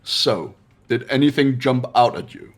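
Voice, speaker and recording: A man's voice: medium pitch, expressive, measured pace, natural voice. A man playing a haughty, cool-headed young man with a curt, condescending manner in a fan-dubbed game. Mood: composed. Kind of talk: fan-dubbed visual novel game acting.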